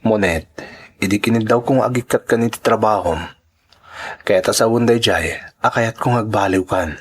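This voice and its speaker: Earnest, suspicious young male partner and son played by a man, husky voice, Ilocano audio drama. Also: on the low side.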